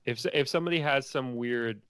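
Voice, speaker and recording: A man's voice: mid-range voice, brisk, a bit bright, clean tone, dry recording, studio quality. A middle-aged man giving casual, reflective interview answers, feeling his way through his thoughts as he talks. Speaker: interview guest, filmmaker.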